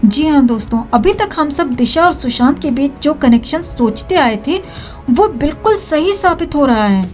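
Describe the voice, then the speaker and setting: High-pitched Indian woman narrating news over music. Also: expressive, moderate pace, a little mellow. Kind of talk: scripted news narration addressed to viewers.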